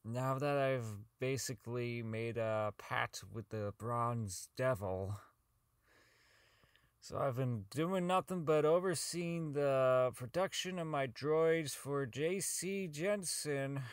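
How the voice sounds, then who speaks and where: A man's voice: medium pitch, slow pace, clear, resonant tone, no room sound, studio quality, natural voice. A man playing a socially inept, bitter company owner in an audio drama, rambling and stammering through his log as he slides toward madness. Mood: bitter, weary. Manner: stammering, rambling, self-loathing, foul-mouthed.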